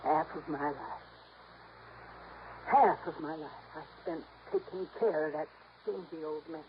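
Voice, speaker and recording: A woman's voice: deep voice, unhurried. A woman playing a bitter, defensive older housekeeper in a 1950s radio drama, with folksy, agitated speech. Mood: bitter, desperate. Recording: dull, noisy old radio recording.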